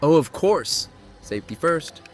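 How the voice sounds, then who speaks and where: A man's voice: on the high side, expressive, unhurried. Cocky young man acting as a thrill-seeker, music underneath. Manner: cajoling, breezy, self-assured. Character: cocky, daring young man urban explorer. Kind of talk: horror audio drama acting and first-person narration.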